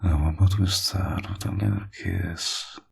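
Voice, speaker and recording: A man playing a flirtatious, possessive villain in an intimate roleplay audio, teasing and smug, with a husky, gravelly voice that often drops toward a murmur. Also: sits low, sing-song, a little slow, slight background noise, exaggerated voice. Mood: flirtatious, coaxing.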